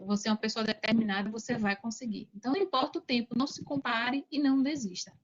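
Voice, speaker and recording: A woman's voice: a little high, fairly even intonation, fast talker, breathy edge. A Brazilian woman giving casual motivational advice as an interview guest, emphatic and repeating key phrases over a muffled call. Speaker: interview guest, digital entrepreneur. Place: remote video call.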